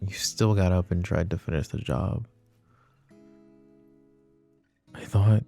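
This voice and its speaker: A man's voice: sits low, creaky, mellow, exaggerated voice. A man playing a possessive, protective mafia boss in an intimate, sardonic boyfriend ASMR roleplay. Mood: earnest, tender. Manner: intimate, brooding, sighing. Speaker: voice actor.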